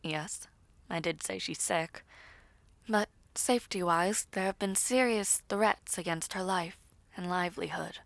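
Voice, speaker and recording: A woman playing a composed, reassuring noblewoman in a fantasy audio roleplay, speaking in a measured, formal, deliberate way. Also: on the low side, vocal fry, dry recording. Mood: grave.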